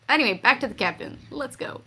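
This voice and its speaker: A woman's voice: high voice, expressive, moderate pace, pushed and bright, a little hiss or hum. A woman chatting casually, half in character, as a player in a tabletop roleplay.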